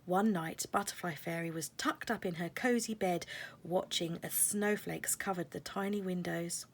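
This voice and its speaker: A woman's voice: lowish voice, normal speed, slight background noise. A woman narrating a children's picture book aloud as a scripted story.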